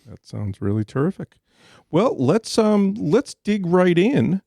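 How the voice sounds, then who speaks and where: A man's voice: high voice, very animated, slow pace, creaky, mellow, no room sound, clean studio sound. A man hosting a podcast interview in a guest's home, asking curious follow-up questions in a casual way. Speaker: podcast host.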